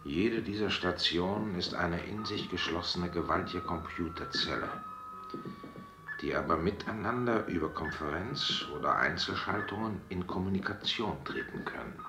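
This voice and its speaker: An actor playing a grandiose, condescending leader of a dystopian technocratic state in a science-fiction radio drama. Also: male voice, low-pitched. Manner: pompous, condescending, lecturing. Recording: echoey radio drama with music underneath.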